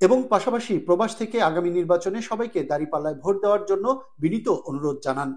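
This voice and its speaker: A man's voice: high-pitched, very fast, a little mellow. A man with a Bangladeshi accent narrating a scripted TV news report as a correspondent.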